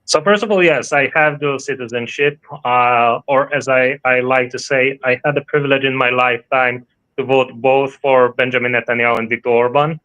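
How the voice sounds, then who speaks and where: Halting Israeli-born man, bright dull sound. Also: medium pitch, fairly even intonation. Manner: halting, many fillers and restarts. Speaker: guest. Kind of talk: interview answers.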